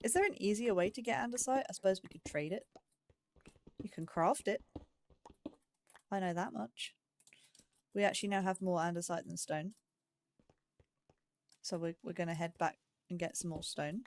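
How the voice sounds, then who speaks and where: A woman's voice: fairly low pitch, normal speed, pauses often, a little mellow. A woman giving casual let's play commentary, chatting and musing aloud in a self-deprecating way. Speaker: gaming YouTuber, host of a let's play series.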